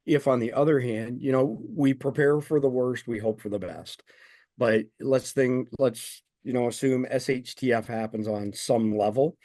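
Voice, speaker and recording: A man's voice: normal speed, dark tone, no echo. Confident man explaining, dry sound. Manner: confident, explanatory, making a pitch. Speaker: guest, survival news founder. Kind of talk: interview answers.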